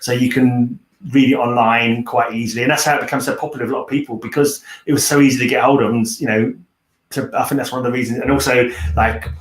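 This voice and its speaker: A man's voice: mid-range voice, steady tone, quick pace. A man hosting a casual book discussion, talking in a rambling, tentative way with frequent hedging. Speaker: host of a horror fiction read-along. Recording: roomy, echoey sound.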